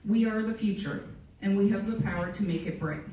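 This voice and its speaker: A woman reading a prepared conference talk in a measured, formal way. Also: medium pitch, highs cut off, slight background noise. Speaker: conference speaker. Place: conference hall. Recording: very echoey hall from far off.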